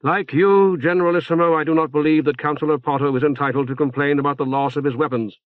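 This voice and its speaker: A man reading an audiobook, playing a calm, formal and articulate male leader. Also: a little high, fast, no echo, narrow, tinny audio, voice pushed up, close to an everyday voice. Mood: reasoned, firm.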